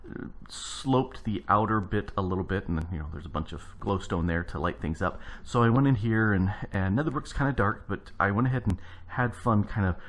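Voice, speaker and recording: Deep-voiced man, morning-gravelly, easygoing rambling game commentary with lots of restarts. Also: brisk, hardly any pauses. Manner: rambling, thinking aloud while building. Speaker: let's play host. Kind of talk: casual gameplay commentary.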